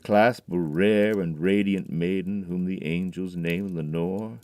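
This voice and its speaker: A man performs a grieving, haunted man in a radio-style audio drama. The voice is very deep and recorded close and dry, with no room sound. The delivery sinks into hushed brooding, then rises into loud, pleading cries. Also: steady tone, takes it slowly, no room sound. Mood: anguished. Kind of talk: dramatic poetry reading.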